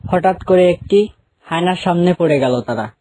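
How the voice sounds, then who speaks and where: A man's voice: high-pitched, fairly quick, warm tone, no room sound. A man narrating a scripted children's story.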